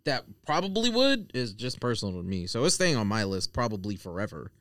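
A man's voice: mid-range voice, sing-song, a little fast, a bit bright, no room sound, clean studio sound. A man chatting casually as a panelist on a podcast. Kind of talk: casual chat.

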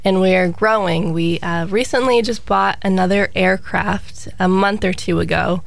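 A woman's voice: mid-range voice, fairly even intonation, normal speed, vocal fry. A young woman in her twenties, answering interview questions in an upbeat, enthusiastic way. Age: 20s. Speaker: pilot, flight center co-owner.

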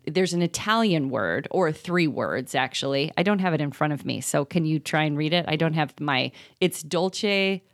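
Woman, podcast co-host, deep voice, thoughtful casual chat, hesitant fillers. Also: expressive, normal speed, few pauses. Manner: thoughtful, rambling with many ums.

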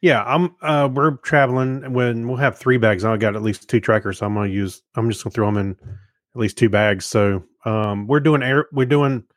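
A man's voice: mid-range voice, steady tone, moderate pace, no echo, studio quality. A man co-hosting a podcast, chatting casually and reading out listener messages. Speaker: podcast co-host.

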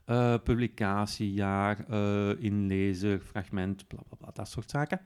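A man with a Flemish accent presents a software walkthrough step by step, in an informal, explanatory way with frequent tag words. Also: fairly low pitch, measured pace, clean tone. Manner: informal, explanatory, often tagging sentences with "hè". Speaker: podcast presenter demonstrating an app.

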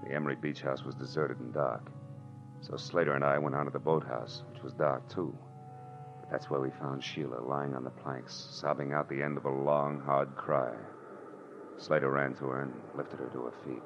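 An American actor playing a cocky, wisecracking private detective in 1940s radio noir, with wry, slangy, clipped delivery. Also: male voice, very deep voice, level tone, normal speed, music in the background. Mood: brooding narration. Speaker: lead actor and narrator. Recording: dull, noisy old radio recording.

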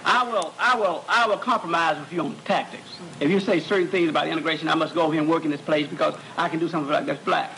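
A man, a psychologist, giving an animated lecture to students, repeating words as he searches and joking with the audience. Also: on the high side, fast talker, gravelly, hardly any pauses, slight background noise, poor audio.

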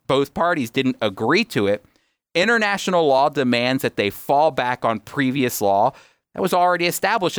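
A man's voice: a little high, fairly bright tone, no echo. A man hosting a radio program, explaining his points emphatically in a teaching style and often answering his own questions. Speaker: radio host.

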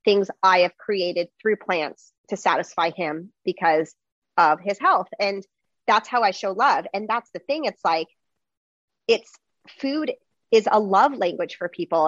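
A woman host in a casual conversation, chatty and animated, with lots of fillers. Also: mid-range voice, moderate pace, no room sound, lo-fi sound. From United States.

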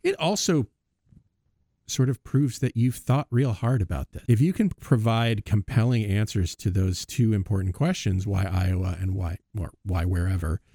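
Podcast host: American man in his fifties, low-pitched, conversational delivery. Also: moderate pace, warm tone, no echo, studio quality.

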